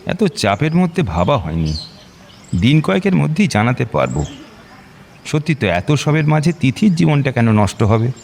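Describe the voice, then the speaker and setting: A man's voice: medium pitch, big pitch swings, moderate pace, creaky, a little mellow. An actor playing a genial, courteous middle-aged father in an audio drama, talking in a chatty way. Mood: sober, considerate. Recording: reverberant hall, light music bed.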